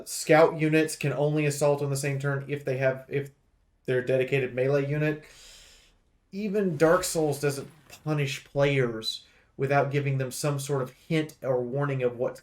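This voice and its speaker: A man giving unscripted, blunt and emphatic game review commentary in an aggrieved, complaining way as a preview host. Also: mid-range voice.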